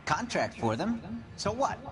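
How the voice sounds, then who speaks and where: An actor playing a defiant, bitter 1940s gas fitter in a video game, gruff and mouthy. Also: male voice, sits high, big pitch swings, unhurried, noisy background. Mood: defensive. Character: defensive, bitter 1940s working-class male gas fitter. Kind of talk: dramatic video game acting.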